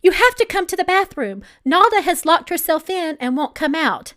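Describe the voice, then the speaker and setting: Woman acting a female worker, frantic, loud, urgent, very high pitch, swooping intonation, novel character. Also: expressive, normal speed, full character voice. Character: frantic female factory worker, yelling in panic.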